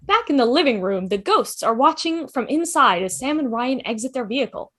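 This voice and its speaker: Bright, high-pitched woman narrating. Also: very animated, brisk. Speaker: stage directions narrator in a table read. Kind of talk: scripted narration. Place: video call table read.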